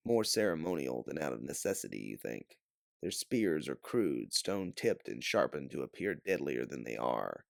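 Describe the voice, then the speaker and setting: A man narrating a science-fiction adventure story as an audiobook reader, with theatrical emphasis and a measured, dramatic delivery. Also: rumbling low, creaky, no echo, studio quality. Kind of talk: dramatic second-person sci-fi story narration.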